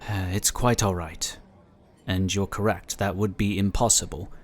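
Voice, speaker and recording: An actor playing a calm, courteous inquisitor who explains things in a formal, measured way in a sci-fi audio drama. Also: male voice, sits low. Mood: explaining. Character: calm, courteous psionic inquisitor, proud pacifist.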